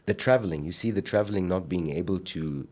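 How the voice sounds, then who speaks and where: A man in his forties with a Kenyan accent and a notably deep, low-pitched voice. He tells his life story as a podcast guest, animated and playful, acting out scenes from his past. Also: fairly quick. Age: 40s. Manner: animated storyteller, acts out his younger self. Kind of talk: casual interview storytelling.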